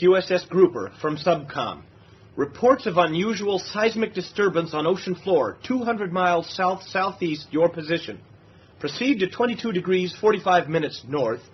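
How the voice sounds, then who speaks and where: Husky, high-pitched navy yeoman. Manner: formal, clipped, deferential. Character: dutiful, easily flustered young male navy yeoman. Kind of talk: radio drama acting. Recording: dull lo-fi radio recording.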